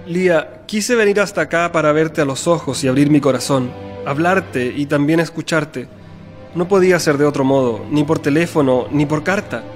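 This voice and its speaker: A man's voice: a little high, moderate pace, soft background music, close to an everyday voice. A man playing an earnest, tender, conflicted suitor in a radio soap, speaking in a heartfelt, solemn way.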